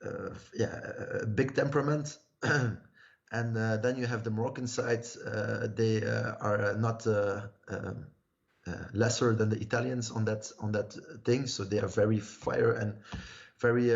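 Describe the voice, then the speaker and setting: A man in his thirties from Belgium, telling his life story as a livestream guest in a halting way, searching for words. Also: lowish voice. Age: 30s. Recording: muffled lo-fi livestream audio.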